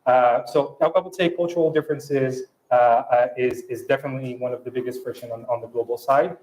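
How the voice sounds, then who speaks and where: A man from France speaking English with a French accent, a guest at a fireside chat who talks in halting phrases with many restarts and fillers. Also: mid-range voice, steady tone, a little mellow, reverberant, rough recording. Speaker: panel guest.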